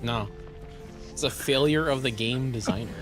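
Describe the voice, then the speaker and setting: A young man streaming live, chatting casually; he is excitable, laughs often and is losing his voice. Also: mid-range voice, moderate pace, over music. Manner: excitable, laughs often, repeats words in bursts.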